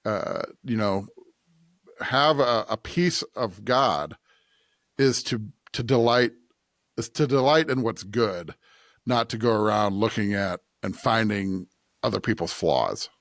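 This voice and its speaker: A man's voice: fairly low pitch, animated, slow pace, fairly bright tone, no echo, studio quality. A man co-hosting a podcast, reflecting on scripture in a conversational style. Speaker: podcast co-host.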